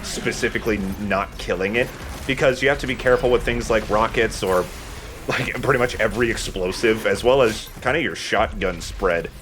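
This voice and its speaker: Low-voiced man doing casual game commentary. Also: fairly quick, noisy background. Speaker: let's play host and player giving commentary.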